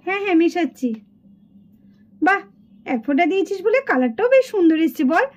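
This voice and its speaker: Very high-pitched little schoolgirl doll. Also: big pitch swings, fairly quick. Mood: delighted. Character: excited little schoolgirl doll making slime. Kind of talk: children's puppet show dialogue.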